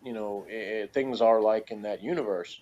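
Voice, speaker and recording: Man in casual, halting discussion, dull recording. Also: lowish voice, a crowd in the background. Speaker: podcast co-reviewer. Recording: dull, lo-fi sound.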